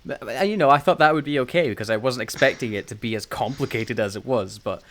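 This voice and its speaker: A man's voice: medium pitch, fast, a bit bright. Casual, rambling male podcast co-host. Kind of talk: casual chat reviewing audio dramas.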